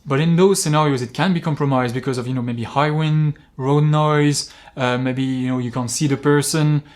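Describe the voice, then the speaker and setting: A man talking casually as an outdoor climbing vlogger, explaining gear with examples in a halting, rambling way. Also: mid-range voice, steady tone, a little mellow. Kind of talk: casual product-talk vlog, explaining with examples.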